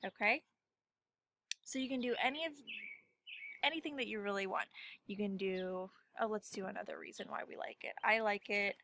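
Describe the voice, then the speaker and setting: A woman's voice: mid-range voice, normal speed, pauses often, sharp tone, a little hiss or hum. A woman teacher giving a casual lesson to children, thinking aloud as she demonstrates.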